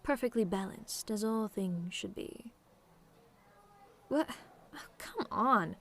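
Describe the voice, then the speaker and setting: A woman's voice: mid-range voice, slow pace, a bit bright. A woman playing a sardonic, teasing tomboy demon in a scripted roleplay monologue, talking in a casual, taunting way straight to the listener. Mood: wry, guarded. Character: sardonic tomboy female demon, relaxed, teasing, secretly fond of simple pleasures.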